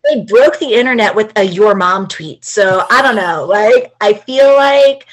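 A woman's voice: medium pitch, lively intonation, measured pace, low-quality recording. Chatty, laughing woman from New Jersey. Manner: bubbly, chatty, laughs often. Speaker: guest teacher. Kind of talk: casual podcast chat. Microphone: remote call.

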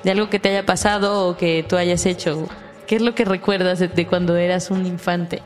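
A woman's voice: lowish voice, steady tone, normal speed. Mexican woman chatting over faint music. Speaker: podcast co-host. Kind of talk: casual podcast chat.

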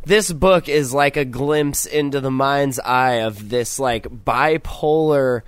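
Gruff-voiced man hosting a podcast. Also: medium pitch, slow pace, no echo. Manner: rambling, loose, with frequent restarts and laughs. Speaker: podcast host. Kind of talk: casual podcast chat.

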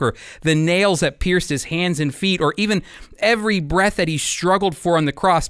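American male radio Bible teacher, slightly high register, lively intonation, earnest scripted delivery. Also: no echo, clean studio sound.

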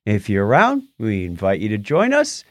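A man's voice: fairly low pitch, wildly swooping pitch, moderate pace, no room sound, studio quality. A man from Phoenix, Arizona co-hosting a tech podcast in casual, jokey chat, laughing often. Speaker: podcast co-host.

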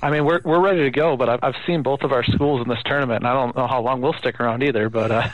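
A man with a medium-pitched voice talks sports as a co-host. His delivery is casual and conversational, and it moves along very quickly. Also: steady tone, highs cut off. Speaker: fill-in co-host.

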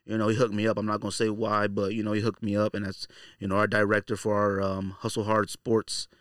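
A man's voice: fairly low pitch, monotone, fast talker, fairly bright tone, dry recording, studio quality. An American man hosting a podcast solo, talking casually in a slangy, conversational, emphatic way. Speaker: sneaker podcast host.

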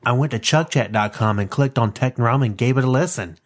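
A man's voice: mid-range voice, fast, soft background music, lightly acted. A man playing an earnest customer giving a testimonial in a parody commercial.

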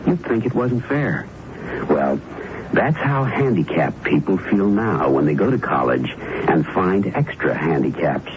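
A man with a very low, lively voice delivers a scripted public service announcement. He is earnest and direct, putting rhetorical points to the listener. The old radio sound is noisy. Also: unhurried, lo-fi sound.

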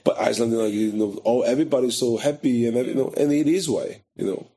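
A middle-aged man from Brazil with a Brazilian Portuguese accent and Portuguese as his first language, telling stories animatedly as an interview guest in halting second-language English and acting out the voices he quotes. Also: mid-range voice, dark tone, husky. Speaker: guest, photographer. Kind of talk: casual conversational interview.

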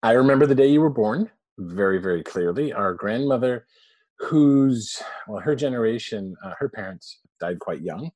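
A man's voice: medium pitch, lively intonation, moderate pace, darkish tone, dry recording. A man in his forties, a guest telling family stories in a chatty, laughing way. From born in Canada, raised abroad. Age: 40s. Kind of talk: casual storytelling interview.

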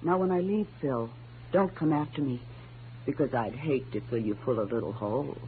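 An actress playing a cool, treacherous, sardonic femme fatale in a 1940s radio crime drama, on a muffled old recording. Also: female voice, extremely low pitch, normal speed, slight background noise. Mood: coolly menacing. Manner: sardonic, coy.

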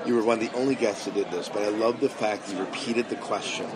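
A man with a fairly low voice interviews someone casually amid clear cafeteria chatter. He sounds friendly and tends to say things twice. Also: steady tone, fast talker. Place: cafeteria at a convention. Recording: busy cafeteria crowd behind voice.

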